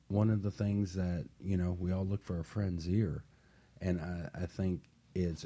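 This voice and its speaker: A man speaking earnestly and haltingly as a guest in a casual panel discussion. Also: sits low, warm tone, husky.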